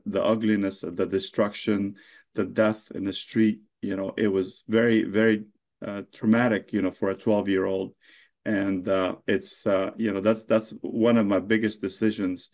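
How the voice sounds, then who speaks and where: Low-voiced man, halting with fillers. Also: darkish tone. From Lebanon. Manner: halting, heavy with fillers and restarts. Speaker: mayor being interviewed. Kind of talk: unscripted interview answers.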